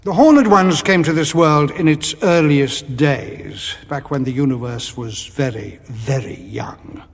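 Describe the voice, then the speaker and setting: An actor playing a haughty alien zealot who serves ancient dark powers, speaking formally and solemnly in an audio drama. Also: male voice, a little high, a little slow, vocal fry, breathy edge, big-room sound, exaggerated voice. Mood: solemn, portentous.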